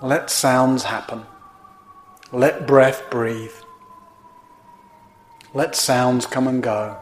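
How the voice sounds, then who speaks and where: A man leads a guided meditation at a very slow pace, leaving pauses between short, gently instructive phrases. His voice sits at an ordinary middle pitch for a man. The room around him adds a clear echo. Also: fairly even intonation, slight background noise. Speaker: meditation teacher. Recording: roomy, echoing indoor sound.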